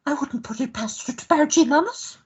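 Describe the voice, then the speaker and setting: Woman acting a high-pitched, jealous woman. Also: lively intonation, a little fast, dull audio. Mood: indignant. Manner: indignant, melodramatic. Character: jealous, sharp-tongued woman scolding her unfaithful lover. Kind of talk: radio play acting.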